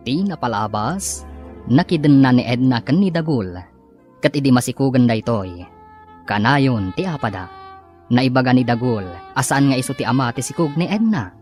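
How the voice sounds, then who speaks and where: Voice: mid-range voice, lively intonation, normal speed, a little mellow, faint music behind. A radio drama announcer reading a scripted introduction and recap.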